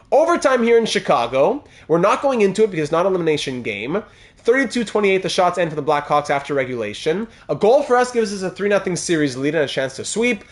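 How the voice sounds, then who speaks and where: A young man streaming a hockey video game, chatting with viewers and calling the plays as they happen. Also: fairly high pitch. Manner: chatty, reads chat aloud, excited calls of game plays. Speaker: gaming streamer playing a hockey franchise mode. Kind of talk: live-stream commentary over gameplay.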